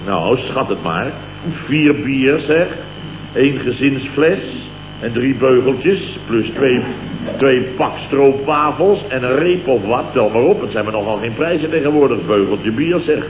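A man's voice: medium pitch, animated, a little slow, lo-fi sound, lightly acted. Indignant Dutch male boss played by a man, mock-dramatic and clipped, vintage radio comedy, heavy hiss and hum in a roomy recording. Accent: Dutch, from the Netherlands. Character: blustering, indignant male company boss telling tales. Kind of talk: 1960s-70s radio comedy acting.

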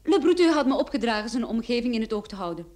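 An actress playing a clever, self-assured private detective in a radio play, pert and precise. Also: female voice, a little high, animated, fast talker, a little mellow, natural voice. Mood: poised, wry. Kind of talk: old radio play acting.

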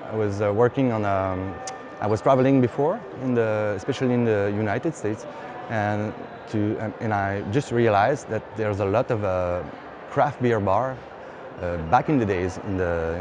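A lowish-voiced man in his thirties with a Quebec French accent works through his English in a halting way, pausing on fillers and restarting phrases. He is recorded on a lapel mic inside a busy hall with steady background noise. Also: lo-fi sound. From Quebec, Canada. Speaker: brewery founder. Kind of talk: on-camera interview answer. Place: indoor beer festival hall.

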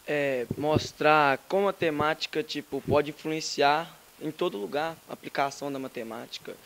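A man's voice: a little high, moderate pace, creaky, a bit bright, slight background noise. A teenage boy presenting his school project, hesitant, with casual filler words. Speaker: student presenter. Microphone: handheld mic.

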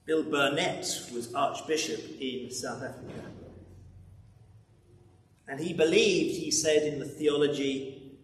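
An older man delivers a sermon from a lectern in a big, reverberant church. His pace is very slow and dramatic, with swings between forceful and hushed phrases. He sounds set back from the mic, with some noise around him. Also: fairly high pitch. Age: 60s or older. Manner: dramatic, swelling to loud then dropping very quiet. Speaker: preacher. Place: large church interior, at lectern. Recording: roomy echoing church, distant, background noise.

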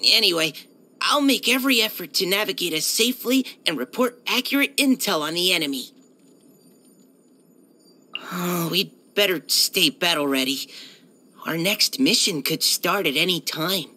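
A woman's voice: lowish voice, animated, normal speed. A woman voicing a polite, earnest young male military surveyor in a video game, with a hesitant, helpful manner. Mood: earnest, dutiful. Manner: hesitant, soft-spoken politeness, eager to help. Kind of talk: video game character voice-over.

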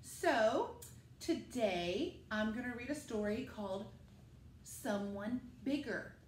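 Animated, high-voiced woman reading to children. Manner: animated, addresses children directly. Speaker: school teacher reading to pupils. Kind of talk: children's read-aloud, picture book storytelling. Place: living room at home, indoors. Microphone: camera a few metres away. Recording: echoey room with faint noise.

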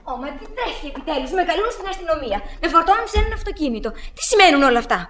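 Woman as flustered nurse, high, fussy, radio play. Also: lively intonation, fairly bright tone, soft background music. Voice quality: fussy, impatient. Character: brisk flustered visiting nurse, impatient. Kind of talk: radio drama acting.

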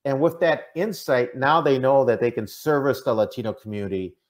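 A man's voice: medium pitch, a little fast. A middle-aged man from the American Midwest giving earnest, conversational interview answers, often checking in with "right?". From Minnesota, United States. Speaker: interview guest, diversity consultant. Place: indoor room, on a video call. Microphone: video call microphone.

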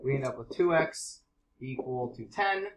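A man with congested allergies, teaching a high school math class in a casual, chatty way. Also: animated, normal speed, background noise. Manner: casual, chatty explaining with fillers. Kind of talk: classroom lesson.

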